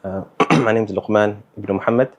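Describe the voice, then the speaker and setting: A young man, talking as a guest in a casual interview, relaxed and hesitant, with many fillers and Arabic words mixed in. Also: fairly low pitch, fairly quick. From Somali, born in the Netherlands, raised in England. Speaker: guest, university student.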